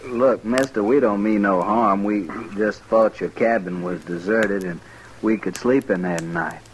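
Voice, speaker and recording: An actor playing a gruff, resigned outlaw drifter with a rural American accent, blunt and plain-spoken, in an old radio western. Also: male voice, lowish voice, a little slow, close to an everyday voice. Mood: defensive. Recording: noisy vintage radio recording.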